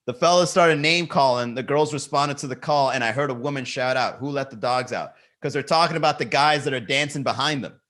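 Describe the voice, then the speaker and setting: Male comedy podcast host, bright forceful voice, animated riffing, some swearing. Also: mid-range voice, fairly quick, few pauses. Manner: animated, swearing, rhetorical questions. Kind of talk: comedic riffing and lyric reading.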